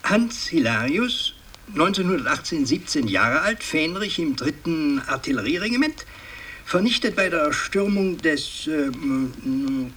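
Slow, high-pitched pompous old patriarch. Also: male voice, bright, forceful tone, breathy edge, exaggerated voice. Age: older. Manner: halting, ceremonious, long-winded. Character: pompous, fussy old aristocratic family patriarch. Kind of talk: radio drama acting. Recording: distant, noisy 1960s radio recording.

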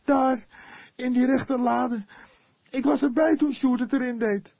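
A man's voice: very high voice, steady tone, unhurried, vocal fry, a little mellow, no room sound, pitched above the performer's own voice, full character voice. A man voicing a shaken young woman, a witness in a detective audiobook, speaking in short plain statements.

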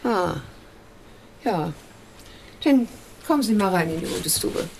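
An actress playing a blunt, dismissive village widow who talks curtly and colloquially in a radio play. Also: female voice, mid-range voice, expressive, slow, gravelly, darkish tone, slight background noise, poor audio. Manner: curt, colloquial, disparaging. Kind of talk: German radio play acting.

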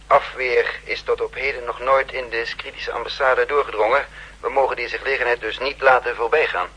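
Raspy, forceful spy chief. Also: male voice, medium pitch, fairly even intonation, fairly quick, low-quality recording. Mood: formal, businesslike. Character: courteous male counterespionage chief, hesitant but commanding. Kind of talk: 1960s Dutch radio drama acting. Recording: 1960s radio drama recording.

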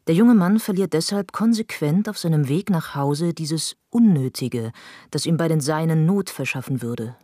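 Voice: medium pitch, animated, normal speed, dark tone, no echo. A narrator reads literary prose for a radio play in a scripted style. The voice sits in a middle register and is recorded very dry.

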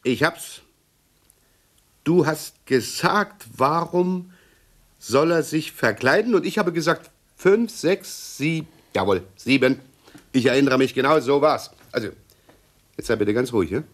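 A man's voice: fairly high pitch, expressive, measured pace. An actor playing a pedantic, self-satisfied middle-aged policeman husband in a radio play, fussy and blustering, repeating himself. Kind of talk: 1960s German radio play acting.

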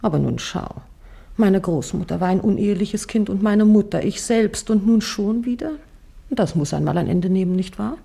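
An actress in a radio play as a flirtatious, persistent courtesan with a husky, mellow voice, coaxing and chatty. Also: female voice, medium pitch, lively intonation, normal speed. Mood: confiding. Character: flirtatious, persistent Venetian courtesan seeking marriage. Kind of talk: theatrical radio play acting.

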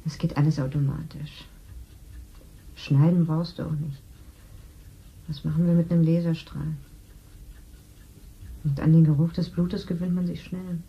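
A woman's voice: sits low, steady tone, a little slow, pauses often, highs cut off. An actress in her thirties playing a matter-of-fact medical assistant in a 1970s radio play. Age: 30s.

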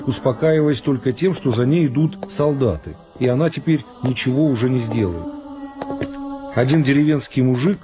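A man reading a classic novel as a scripted radio narration, in a measured, unhurried storytelling manner. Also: medium pitch. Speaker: narrator of a literary radio serial. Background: music.

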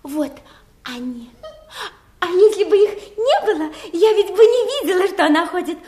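An actress playing a naive, simple-hearted young princess in a fairy-tale audio play, with a childlike, guileless way of talking. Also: female voice, very high voice, lively intonation, moderate pace, a little mellow, roomy sound. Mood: innocent, puzzled. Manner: childlike, literal-minded, ingenuous.

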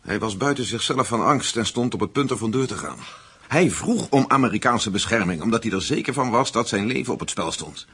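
A calm american official in a radio play, lowish raspy voice, explains himself plainly, not sorry at all. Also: male voice, brisk, exaggerated voice. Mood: calmly defensive. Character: calm, self-justifying American male consulate official.

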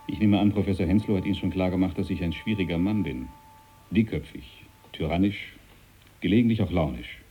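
A stern British naval captain from a radio play, with a low, dark voice. He talks bluntly and gives orders as if nobody would contradict him, with a husky edge to his tone. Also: fairly quick. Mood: blunt, probing. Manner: brusque, blunt, commanding. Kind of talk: radio drama dialogue.

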